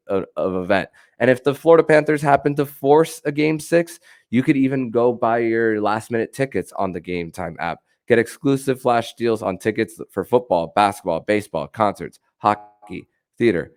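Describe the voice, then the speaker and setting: A man hosting a sports podcast, with a halting delivery that often stammers and repeats words. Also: on the low side, clean tone. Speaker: podcast host.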